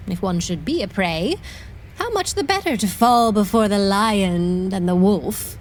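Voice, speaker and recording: A woman playing a proud noble lady in love in a Shakespeare audio play, speaking in a measured, aristocratic manner. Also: mid-range voice, lively intonation, a little hiss or hum, close to an everyday voice, low-quality recording. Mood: wounded pride.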